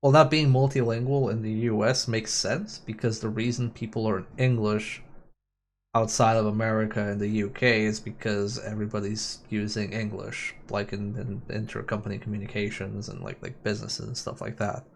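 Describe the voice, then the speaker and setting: A man's voice: fairly low pitch, moderate pace, creaky, slight background noise. A man streaming a game with casual, opinionated and rambling chat. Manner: opinionated, rambling, mimics others mockingly, swears. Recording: game music behind the voice. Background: music from a racing game.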